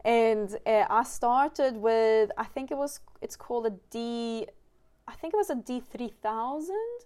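A woman's voice: on the high side, animated, a little slow, a little mellow, clear, resonant tone. A woman from Sweden, a Swedish native speaker, hosting a casual podcast chat, talking loosely with lots of fillers and restarts. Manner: chatty, many fillers and restarts. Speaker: podcast host.

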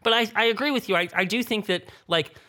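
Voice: mid-range voice, fast talker, pushed and bright. A panelist speaking in a critical roundtable discussion.

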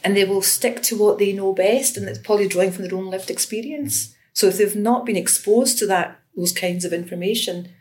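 A woman's voice: mid-range voice, brisk, few pauses, darkish tone. A woman in her fifties from Scotland, an education researcher and lecturer, talking through her research in an interview with frequent restarts and fillers. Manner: thoughtful, many restarts and fillers. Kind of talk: conversational interview.

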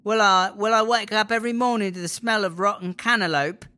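A woman's voice: medium pitch, steady tone, fairly quick, bright, forceful tone, close to an everyday voice. A woman with a British accent playing a jealous, bitter, foul-mouthed girlfriend in a comedy audio drama, sarcastic and exasperated. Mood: bitterly sarcastic.

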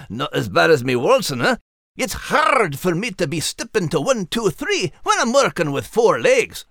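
A man's voice: sits high, sing-song, measured pace, gravelly, fairly bright tone, no room sound, studio quality, full character voice. A man voicing a jovial, clumsy, good-natured four-legged animal with a Scottish accent in a children's audio drama. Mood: jokey.

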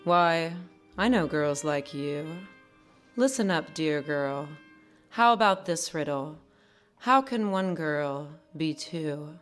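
A woman's voice: low-pitched, expressive, slow pace, soft background music. An actress playing a knowing, worldly woman who taunts a naive girl in a condescending, insinuating way, in a live radio drama. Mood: taunting.

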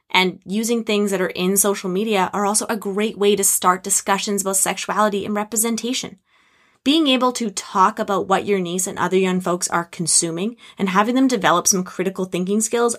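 Calm woman hosting an advice podcast. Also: mid-range voice. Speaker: podcast host. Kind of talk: scripted advice segment.

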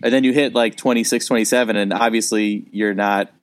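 A man's voice: fairly low pitch, steady tone, a little fast. A man in his thirties, a comedian co-hosting a podcast, talking casually and thinking aloud with frequent restarts.

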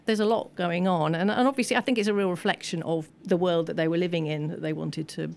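A woman's voice: lowish voice, steady tone, fast, creaky, a little mellow. A woman speaking as an expert guest at a film screening, talking informally and analytically on a panel. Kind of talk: informal post-screening Q&A commentary. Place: live event at a film screening.

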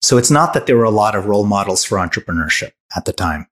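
Man in his forties from Hungary, lowish voice, founder, thoughtful, halting restarts. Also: animated, fairly bright tone. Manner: thoughtful, many restarts and fillers. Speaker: startup founder. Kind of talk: podcast interview answers.

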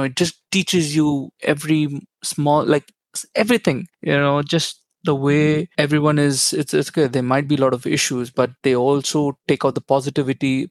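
A man's voice: mid-range voice, dry recording. A man from India whose first language is Tamil, speaking as a guest in a casual interview and often repeating words as he searches for them. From India, Chennai.

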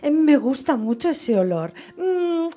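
An actress playing a sly young maid in radio theatre, deferential and coy but worldly. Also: female voice, high-pitched, big pitch swings, slow, dark tone, heavily acted. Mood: coy, businesslike.

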